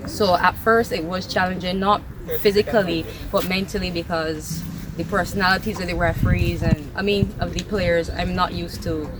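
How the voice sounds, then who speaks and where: A woman's voice: on the low side, steady tone, moderate pace, noisy background. A young woman from Barbados with a Barbadian accent, a football referee, answering interview questions openly.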